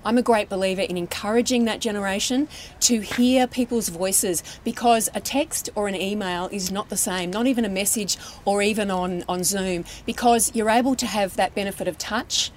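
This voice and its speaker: A woman's voice: mid-range voice, normal speed, slight background noise. A woman speaking earnestly and emphatically as a panellist in a discussion. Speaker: radio panellist.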